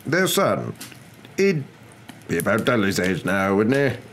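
A man's voice: fairly low pitch, very animated, unhurried, fairly bright tone, a little hiss or hum, heavily acted. A man voicing an anxious middle-aged suburban husband who mumbles and hesitates, in a storybook reading. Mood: tentative, nervous.

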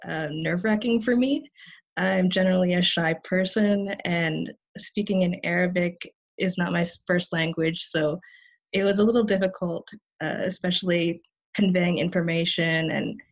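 A young woman in her twenties, a student, giving a presentation over a video call, soft-spoken and hesitant with frequent fillers. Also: fairly low pitch, low-quality recording. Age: 20s. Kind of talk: conference presentation.

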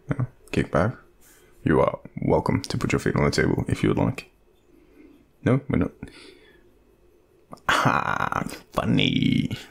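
Man playing a gravelly, low-voiced Australian friend with a crush. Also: heavily acted. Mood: playful, relaxed. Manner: rambling, hesitant, self-deprecating, swearing. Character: awkward, joking young man secretly crushing on his friend. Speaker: audio roleplay performer. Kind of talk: intimate casual roleplay, half-improvised.

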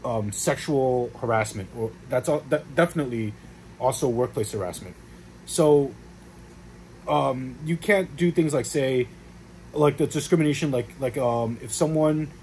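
A man's voice: mid-range voice, a little mellow, slight background noise, low-quality recording. Rambling young man explaining at home. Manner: rambling, many fillers and restarts. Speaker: vlogger explaining workplace law. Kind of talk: casual explainer to camera. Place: small room at home, indoors.

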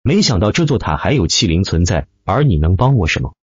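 A man's voice: low-pitched, very animated, a little fast, husky, no echo, dull audio, exaggerated voice. A man voicing a bold, direct young male cultivator in a fantasy audiobook. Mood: astonished. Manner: direct, questioning.

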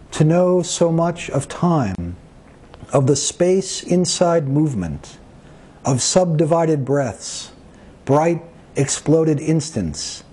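A man reading his own poems as a poet, with measured, deliberate phrasing and pauses between short phrases. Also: on the high side, dark tone, husky. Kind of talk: poetry reading of own work.

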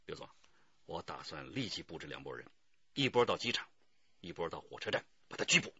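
An actor playing a decisive, authoritative police detective chief giving orders in a radio drama. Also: male voice, low voice, big pitch swings, fairly quick, sharp tone, breathy edge, no echo, lo-fi sound, heavily acted. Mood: focused, urgent.

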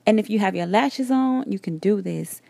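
A woman in her thirties giving a relaxed, chatty voiceover for a makeup tutorial, explaining each step. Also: mid-range voice, normal speed, vocal fry, no echo. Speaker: beauty vlogger. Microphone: voiceover recorded separately.

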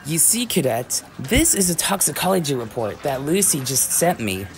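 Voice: mid-range voice, sing-song, normal speed. A performer with a woman-like voice acting out an easygoing, dutiful werewolf police chief in scripted ASMR roleplay.

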